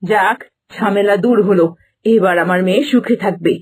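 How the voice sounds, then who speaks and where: A woman's voice: mid-range voice, moderate pace, darkish tone, slightly rough, lightly acted. A woman voicing a cruel, scheming middle-aged stepmother in a cartoon, venomous and gloating as she talks to herself. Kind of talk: cartoon voice acting. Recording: music bed underneath.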